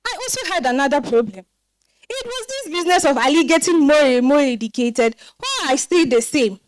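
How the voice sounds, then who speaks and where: An actress playing a wry, regretful married woman telling her story in an expressive dramatized monologue. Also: high-pitched, a little fast, dry recording. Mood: wry, reflective. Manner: expressive, reflective.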